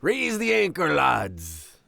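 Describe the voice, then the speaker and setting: A man playing a gruff, superstitious older sea captain with a gravelly voice and commanding, salty sailor speech in a nautical audio drama. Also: a little high, huge pitch swings, takes it slowly, pushed and bright, full character voice. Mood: commanding shouts. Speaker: ship captain.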